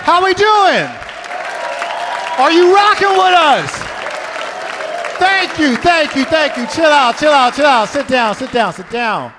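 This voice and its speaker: A man emceeing a comedy club, loudly hyping the crowd and introducing the next comic. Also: extremely high pitch, animated, slow, long pauses, background noise. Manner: loud, repetitive crowd hype. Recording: live club recording with long reverb. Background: music and cheering crowd.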